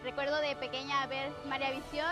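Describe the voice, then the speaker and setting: A woman's voice: high-pitched, level tone, brisk. A young woman from Veracruz, sharing her experience sincerely in an interview clip. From Mexico, Veracruz. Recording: interview clip over loud music.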